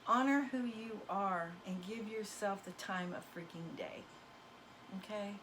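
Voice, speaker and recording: A woman whose voice is wearing hoarse, with the odd cough, talks straight to her viewers as a spiritual card reader. She is emphatic and a little preachy, leaning on folksy turns of phrase. Her pitch sits in an everyday middle range. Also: measured pace, a bit bright, slight background noise. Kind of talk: casual motivational talk to viewers.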